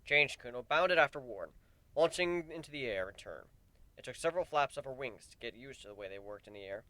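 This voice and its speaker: A man's voice: mid-range voice, fairly bright tone, no room sound. A man reading a piece of fan fiction as a dramatic, scripted story narration.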